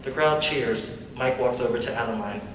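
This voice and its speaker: A man's voice: medium pitch, normal speed, a bit bright, far from the mic. Young man narrating in an echoey, noisy hall. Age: young adult. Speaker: narrator reading stage directions. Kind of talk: scripted narration.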